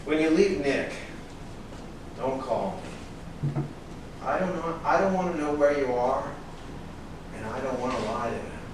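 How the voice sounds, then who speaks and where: A man's voice: medium pitch, unhurried, pauses often, natural voice. An actor playing a blunt, protective longtime friend of a troubled man in a staged play reading. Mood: firm. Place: echoey indoor room at a staged reading. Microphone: distant microphone. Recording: very echoey, distant, noisy room.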